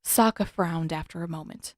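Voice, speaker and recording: A woman's voice: fairly low pitch, moderate pace, no room sound, studio quality. A woman reading fiction aloud as an audiobook narrator.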